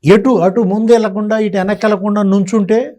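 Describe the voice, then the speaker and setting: Male commentator, raised pitch, mellow tone, colloquial and sarcastic, posing pointed questions off the cuff. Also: steady tone, fast. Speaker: political commentator, interview guest. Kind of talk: unscripted political commentary.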